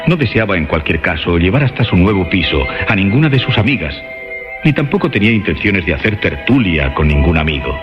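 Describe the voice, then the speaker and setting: A man narrating a suspense radio drama in a dramatic, deliberately phrased style. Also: deep voice, sing-song. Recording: muffled radio sound, music bed behind.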